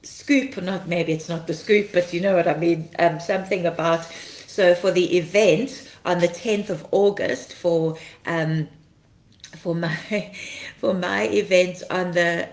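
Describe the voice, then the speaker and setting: A woman, slightly croaky from being ill, hosting a casual ministry livestream, chatting, laughing often and greeting viewers by name. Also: lowish voice, fairly even intonation, slow pace, reverberant. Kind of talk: casual livestream chat reading comments.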